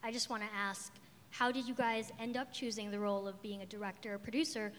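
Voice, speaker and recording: A woman's voice: mid-range voice, steady tone, quick pace. A woman moderating a live panel and podcast, upbeat with laughing asides. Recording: reverberant hall.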